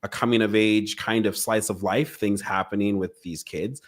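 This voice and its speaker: Male podcast host, lowish pitch, conversational interviewing, eager, curious, repeated phrasing. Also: steady tone, moderate pace.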